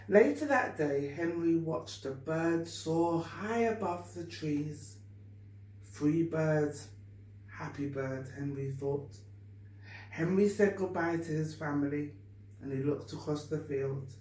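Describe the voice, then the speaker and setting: A woman reads a children's story aloud at a very unhurried pace, phrasing it deliberately and expressively. She sounds some way from the microphone in a room with obvious echo. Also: sits low, animated, a little mellow. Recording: echoey room, distant mic, faint noise.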